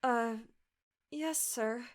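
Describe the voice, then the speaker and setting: A woman's voice: a little high, drawn-out words, very noisy. A woman playing a flustered, apologetic secretary in an audio drama, anxious and deferential.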